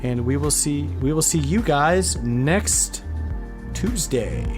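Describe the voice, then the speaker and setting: A man's voice: on the high side, animated, takes it slowly, over music. A man hosting a podcast in an informal, enthusiastic, slangy way, chatting casually about retro games. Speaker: podcast host.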